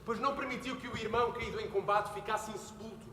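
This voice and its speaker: A young man playing an earnest son who pleads with his father, building to heated shouting. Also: sits high, fairly even intonation, fast talker, slight background noise. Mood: pleading. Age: young adult. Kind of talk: stage play performance. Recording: echoey hall with faint ambience.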